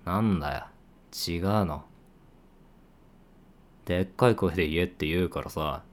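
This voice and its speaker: Guy doing a low-voiced office senpai, teasing and laid-back, talks slow, drama cd vibe. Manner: teasing, casual, drops into hushed asides. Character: teasing male senior coworker, playful, secretly fond. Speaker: voice actor. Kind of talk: situation voice drama.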